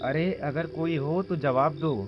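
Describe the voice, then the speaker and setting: A man's voice: a little high, unhurried, dull audio, music in the background, natural voice. A man voicing an uneasy young villager trying to stay brave in horror cartoon dialogue, questioning as he goes. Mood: uneasy, challenging.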